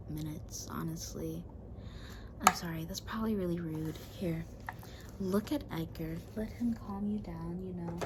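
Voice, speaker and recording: A woman plays a lonely female spider demon in an ASMR roleplay, drifting between breathy whispers and soft, lowish speech. She coaxes and rambles casually with sweet menace, over clearly audible noise. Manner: slow, coaxing, casual rambling with veiled menace.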